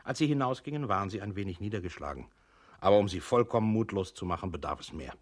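A didactic male coach in a scripted radio drama. His voice is deep and husky, and he exhorts a meek employee in deliberate, earnest phrases. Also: animated. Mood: recapping. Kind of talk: scripted radio play narration.

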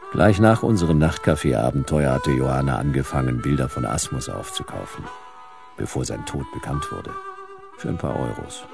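Futuristic private eye, radio play, rumbling bass, lively intonation, laconic, wry, gravel-voiced. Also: male voice, exaggerated voice. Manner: dry, laconic, sardonic. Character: hard-boiled, wry male detective in a future city. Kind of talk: noir radio drama dialogue and first-person narration.

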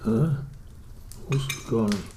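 Casting: middle-aged dismissive father in a radio play, dark mellow voice, roomy, clinking cutlery. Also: fairly low pitch, slow. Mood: disapproving. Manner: grumbling, dismissive, offhand. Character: disapproving middle-aged upper-class father, gossipy and dismissive. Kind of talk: German radio drama acting. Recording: roomy, noisy with clinking tableware. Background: cutlery and dishes.

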